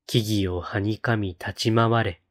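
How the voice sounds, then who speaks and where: A man reciting poetry in a solemn style, with measured, deliberate phrasing and pauses between lines. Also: lowish voice, fairly even intonation. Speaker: poetry reader on a read-aloud channel.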